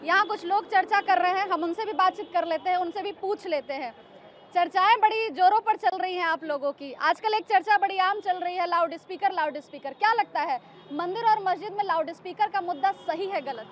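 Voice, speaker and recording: A woman's voice: very high voice, brisk. A young woman working as a TV reporter, interviewing people in the street. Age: young adult. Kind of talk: street interview and piece to camera. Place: outdoors on a city street. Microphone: handheld reporter's microphone. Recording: faint street noise.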